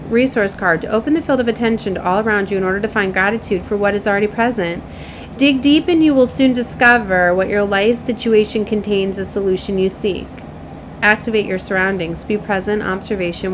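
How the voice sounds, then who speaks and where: A woman's voice: on the high side, noisy background. A woman giving a casual spiritual card reading to viewers, rambling informally and often checking in with the listener. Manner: informal, rambling, checks in with "right?" and "you know". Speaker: tarot and oracle card reader.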